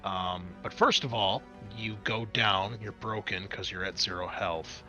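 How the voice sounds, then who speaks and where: Bright-voiced man running a tabletop game. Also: fairly low pitch, fairly even intonation, a little slow, muffled recording, faint music behind. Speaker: game master. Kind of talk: running a tabletop role-playing game, improvised narration and rules talk.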